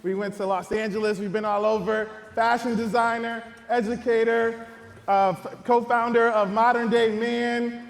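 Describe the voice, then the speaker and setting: A man's voice: extremely high pitch, moderate pace, echoey room, in a large hall. A man hosting a live panel and hyping up each guest as he introduces them. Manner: hype, energetic introductions. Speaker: podcast host and panel moderator.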